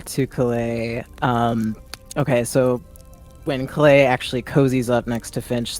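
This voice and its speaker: A tabletop player improvises scenes and in-character lines. The delivery is unhurried, at a middling pitch, with casual swearing. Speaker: player in a tabletop roleplaying game. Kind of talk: improvised in-character roleplay and narration.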